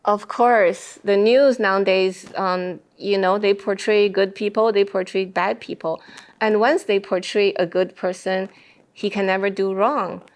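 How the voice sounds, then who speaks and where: A woman's voice: mid-range voice, fairly even intonation, unhurried. Woman explaining ideas in an interview. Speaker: interview guest. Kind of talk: thoughtful interview discussion.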